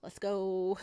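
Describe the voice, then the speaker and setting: A woman's voice: mid-range voice, mellow, smooth, dry recording, studio quality. A woman reading a visual novel aloud and chatting to viewers, giggling often. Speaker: game streamer.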